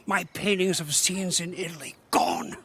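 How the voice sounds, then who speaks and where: An actor playing a fussy, vengeful gentleman collector in a western game, with theatrical, haughty, emphatic delivery. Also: high-pitched, moderate pace, husky, exaggerated voice. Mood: indignant. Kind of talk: video game western acting.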